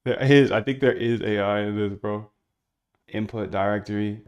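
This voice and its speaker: A man's voice: fairly low pitch, fairly even intonation, normal speed, darkish tone, smooth, highs cut off. A man streaming as he works on his screen, rambling and slangy, talking to chat as he goes. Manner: rambling, slangy, talks to chat while working. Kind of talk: casual screen-share chat.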